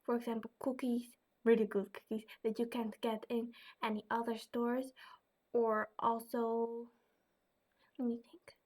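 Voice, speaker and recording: This is a young woman with a Dutch accent. She talks slowly and hesitantly in a slightly high voice, with lots of "um"s and soft asides as she answers viewers' questions. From Netherlands. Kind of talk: casual webcam Q&A vlog.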